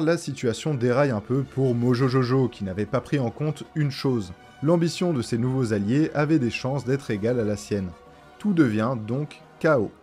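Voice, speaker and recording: A man's voice: mid-range voice, a little mellow. A young man giving a scripted, irreverent recap commentary in a colloquial, sarcastic way, with music behind him. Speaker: video essayist narrator. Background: background music.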